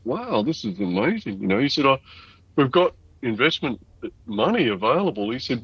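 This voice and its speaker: Older man, casual storytelling guest, loudspeaker designer, muffled band-limited recording, very little room sound. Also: medium pitch, no echo.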